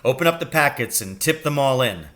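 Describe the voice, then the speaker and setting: A man's voice: medium pitch, expressive, brisk, fairly bright tone, voice pushed up, close to an everyday voice. Man as an emphatic, protective storybook father. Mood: brisk. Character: caring, fiercely protective father of a schoolboy. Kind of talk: acted storybook reading.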